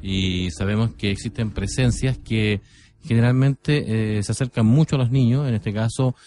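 A man with a Chilean accent telling stories unscripted on radio in a halting, deliberate way, repeating phrases. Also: fairly low pitch, warm tone. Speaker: radio co-host and storyteller.

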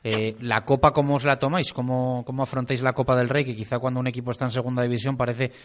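Spanish sports radio host. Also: male voice, medium pitch, level tone, fast. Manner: asks long questions, fills with "eh", says "ujú" while listening.

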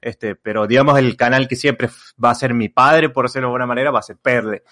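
A young man with an Argentine Spanish accent, chatting casually as an interview guest. He rambles, stacks fillers and restarts his sentences often, at an ordinary mid pitch for a man. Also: fairly even intonation, fast, sharp tone. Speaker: content creator guest.

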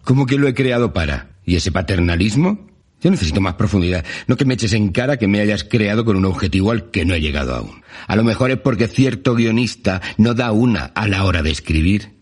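An actor with a Spanish accent from Spain playing a proud, touchy soldier hero in an audio fiction. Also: male voice, fairly low pitch, lively intonation, a little fast, slightly rough. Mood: indignant.

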